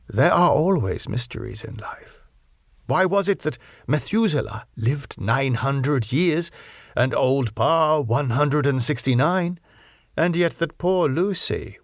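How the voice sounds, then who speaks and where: A man voicing an older professor in an audiobook, earnest and philosophical, putting questions in halting, foreign-sounding English. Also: mid-range voice, creaky, no echo, clean studio sound. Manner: rhetorical questioning, halting non-native English phrasing. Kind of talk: audiobook character acting.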